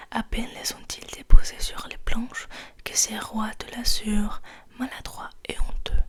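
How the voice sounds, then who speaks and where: A woman speaks in a hushed ASMR whisper, slowly and gently, as if hosting a quiet reading. Her delivery stays even and level, with a slightly high voice and very little swing in pitch. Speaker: ASMR host. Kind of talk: whispered ASMR poetry reading and rambling in English and French.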